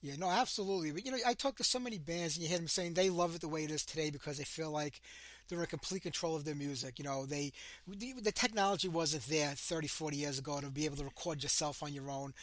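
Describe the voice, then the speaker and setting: A man's voice: on the high side, fast, a bit bright, dry recording. A man with a Brooklyn accent hosting a radio show, chatting casually with a guest in a rambling, laugh-prone way. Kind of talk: casual interview chat.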